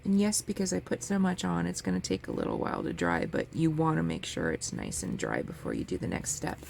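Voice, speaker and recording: A sniffly woman hosting a casual craft tutorial, chatting in a relaxed way as she works at her table. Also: deep voice, a little fast, gravelly. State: sniffly from a cold. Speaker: crafting channel host. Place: home craft table with a fan running. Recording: faint fan noise in background.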